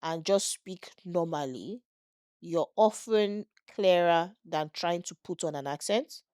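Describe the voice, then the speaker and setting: A woman's voice: on the low side, slow, a little mellow, smooth, no echo, studio quality. A middle-aged woman with a Nigerian accent, co-hosting a casual podcast and steering the talk to new topics. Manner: conversational, steers topics, checks in with "do you get what I mean". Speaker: podcast co-host. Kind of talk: casual chat.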